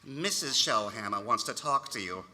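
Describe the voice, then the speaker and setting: An actor playing a fussy but patient husband in a comic radio play, coaxing and repeating himself. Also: male voice, medium pitch, expressive, moderate pace, sharp tone, slight background noise. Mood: flustered, coaxing. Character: fussy, patient husband minding his tipsy wife.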